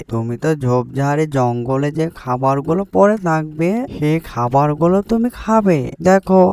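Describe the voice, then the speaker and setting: Guy doing a smug mocking cartoon frog, kinda high voice, talks down to everyone. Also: clean tone. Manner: taunting, haughty. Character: mocking, boastful male frog sneering at a poor ant. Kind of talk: cartoon dialogue.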